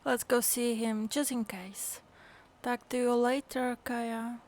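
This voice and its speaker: A woman voicing a calm, wry young adventurer in a video game, with an understated, dryly teasing manner. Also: fairly high pitch, takes it slowly. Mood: composed.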